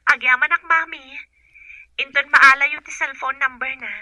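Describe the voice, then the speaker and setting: A woman's voice: high voice, fairly even intonation, normal speed, pushed and bright, no room sound, poor audio. A woman with an Ilocano accent acting an obliging young woman in a radio drama. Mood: curious, coaxing.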